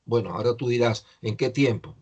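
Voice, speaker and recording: A man's voice: mid-range voice, fairly quick, darkish tone, breathy edge, lo-fi sound. An older man teaching English in an online class, patiently explaining pronunciation to a student over a video call.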